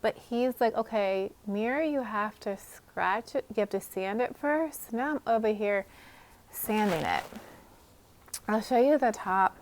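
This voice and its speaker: A woman's voice: medium pitch, a little slow, slight background noise. An American woman chatting casually to camera as a craft vlogger, informal and thinking aloud as she goes.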